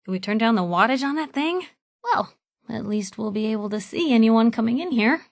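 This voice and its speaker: Plucky young female reporter, game companion, wry, folksy slang, outspoken, fairly high pitch, very dry recording. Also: animated, fairly quick, gravelly, no echo. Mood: wry, conversational. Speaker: video game companion character. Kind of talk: video game voice acting, short reactive lines.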